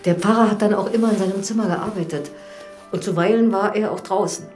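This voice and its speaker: An actress playing a deferential, curious village housekeeper in a radio drama, plain-spoken and hesitant. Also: female voice, mid-range voice, steady tone, fairly quick, darkish tone, soft background music, natural voice. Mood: deferential, confiding.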